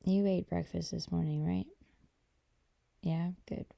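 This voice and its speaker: Woman playing a mellow, creaky-voiced affectionate girlfriend. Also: steady tone. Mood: relaxed, affectionate. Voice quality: dark, creaky low voice. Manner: soft, hesitant, unhurried conversational delivery. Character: affectionate, gentle girlfriend chatting with partner. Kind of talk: intimate ASMR roleplay.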